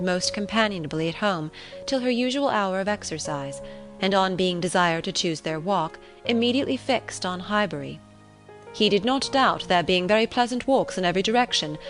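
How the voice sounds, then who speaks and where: A woman reading a classic novel as an audiobook narrator, in a measured, formal manner. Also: mid-range voice. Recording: relaxing music behind the voice.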